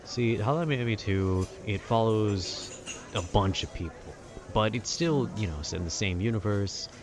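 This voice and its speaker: A man in a calm, casual, nerdy ramble about video games, meandering and often interrupting himself. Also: on the low side, a little slow, crowd noise. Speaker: solo audio creator rambling to the listener. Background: urban ambience.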